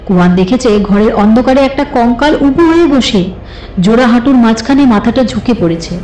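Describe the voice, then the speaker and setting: A woman reading a suspense story as a dramatic, scripted literary narration in an expressive, deliberate way. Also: fairly high pitch. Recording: slightly roomy, with music behind. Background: soft background music.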